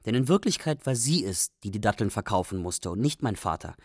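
A man's voice: on the low side, animated, fairly quick, dry recording, studio quality. An actor playing a wry Iraqi refugee who tells of his youth in matter-of-fact radio drama narration. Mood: wry, reflective.

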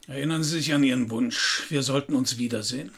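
An actor playing a weary, flirtatious middle-aged private detective in a radio crime drama, speaking in a laconic, dry, intimate way with a gravelly, husky voice. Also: male voice, medium pitch, brisk, a bit bright. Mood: probing. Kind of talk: German radio crime drama, dialogue and first-person narration.

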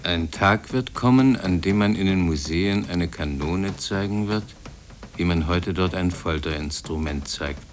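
An actor playing a solemn reciter of old sayings in a 1950s radio drama, measured and declamatory. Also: male voice, sits low, a little hiss or hum. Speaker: actor reading quotations.

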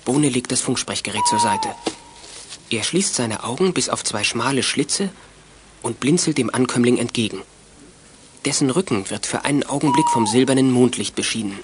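Male storyteller with lively, suspenseful delivery. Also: medium pitch, moderate pace, very noisy. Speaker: narrator. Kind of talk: scripted children's audio drama narration.

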